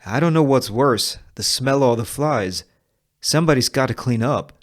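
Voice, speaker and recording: A man's voice: mid-range voice, moderate pace, pitched above the performer's own voice, close to an everyday voice. A man voicing a tough, cool-headed, scheming woman gunslinger in a Western script, sardonic and commanding.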